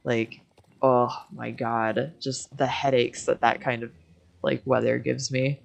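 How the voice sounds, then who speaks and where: A woman with an American accent hosts a gaming stream in a low voice. She takes her time, talking slowly in loose, slangy, informal chat with a giggly streak. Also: flat delivery, vocal fry. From Michigan, United States. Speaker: game livestream host. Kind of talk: casual livestream chat while gaming.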